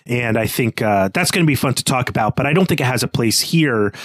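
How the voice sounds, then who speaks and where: A man's voice: mid-range voice, lively intonation, brisk, dry recording, studio quality. A man co-hosting a literary podcast, explaining things in a thoughtful, tentative way as he feels through ideas. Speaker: podcast co-host.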